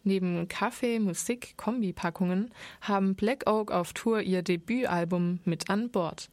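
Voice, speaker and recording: A woman reporter reading scripted narration between interview clips in a crisp, matter-of-fact way. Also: medium pitch, normal speed, darkish tone, no echo.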